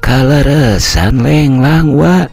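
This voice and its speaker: A man's voice: mid-range voice, takes it slowly, vocal fry, darkish tone, slightly rough, faint music behind, exaggerated voice. A man voicing a younger village man who is respectful, chatty and curious in a told folk story.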